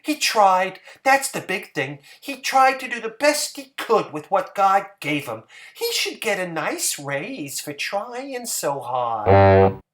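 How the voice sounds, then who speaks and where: A man's voice: high voice, big pitch swings, slow pace, a bit bright, voice pushed up, heavily acted. A man voicing a kindly, simple woman who speaks in an earnest, consoling way in a dramatic story reading. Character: kindly, simple, encouraging wife watching television.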